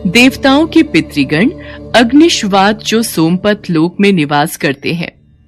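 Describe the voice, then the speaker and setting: A woman's voice: medium pitch, slight background noise. A woman storyteller reading a scripted devotional narration.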